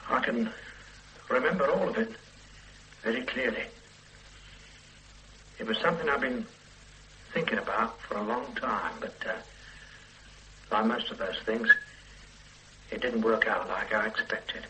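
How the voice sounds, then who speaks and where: An ordinary older husband and grandfather in a radio drama, speaking in a plain, worn-down way. His voice sits in a middling pitch with a bright, clear edge, and faint room noise lies beneath him. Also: a little slow, pauses often, low-quality recording. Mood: reflective. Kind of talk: radio play acting.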